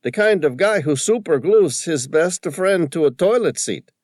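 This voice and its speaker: A man voicing an excitable old male shop owner with rough, folksy speech. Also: fairly high pitch, moderate pace, no echo, clean studio sound, voice pushed up, close to an everyday voice. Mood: indignant.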